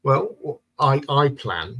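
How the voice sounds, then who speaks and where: A man's voice: medium pitch, sing-song, extremely slow, sharp tone, husky. A man hosting a casual live-stream chat, joking around and reading out viewer comments. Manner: jokey, banters and reads comments aloud.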